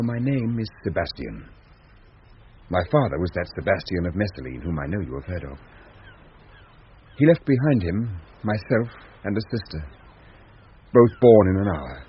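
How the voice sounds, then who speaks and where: An actor playing a courteous, sorrowful young gentleman, grave and formal, in classic Shakespearean radio style. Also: low-pitched. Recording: muffled 1970s radio recording, noisy.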